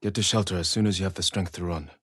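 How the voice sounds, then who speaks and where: A stern, honorable young samurai warrior from a dramatic video game. His voice is deep and husky, close and dry. He speaks in terse, measured lines with restrained, weary resolve. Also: steady tone, a bit bright, dry recording. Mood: grave, resolute. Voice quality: low, husky voice.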